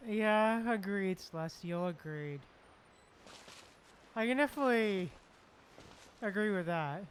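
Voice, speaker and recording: A woman's voice: mid-range voice, lively intonation, slow pace, a little hiss or hum. A woman streaming a game with casual, giggly reactions, repeating phrases as she chats with viewers. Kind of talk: casual livestream reaction chat.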